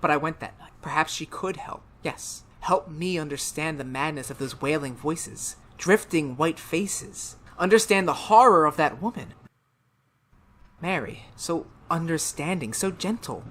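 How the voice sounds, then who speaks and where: A woman's voice: sits low, animated, measured pace. A woman playing a tormented, unravelling murderer in a retro radio horror drama, speaking in feverish, melodramatic broken phrases. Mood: brooding. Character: tormented murderer Daryl, haunted, unravelling, insisting on sanity. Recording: noisy radio drama recording.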